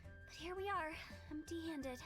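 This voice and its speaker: A woman's voice: extremely high pitch, unhurried. A woman voicing a quirky young female funeral parlor director in a video game, playful, theatrical and teasing, chatting away. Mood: explanatory. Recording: light game music behind.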